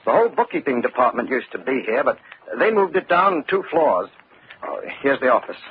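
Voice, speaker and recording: A man's voice: medium pitch, very animated, fairly quick. An actor playing a helpful, deferential male office building employee in an old-time radio drama, polite and a little hesitant. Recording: very dull, noisy vintage radio recording.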